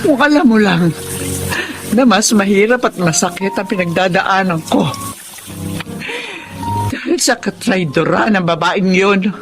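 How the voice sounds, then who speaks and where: A woman's voice: medium pitch, animated, unhurried, long pauses, music in the background. An actress playing a middle-aged, bitter mother in an emphatic, accusing radio melodrama style. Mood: bitter, unforgiving. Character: bitter, wounded mother who refuses to forgive her daughter. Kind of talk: Tagalog radio melodrama acting.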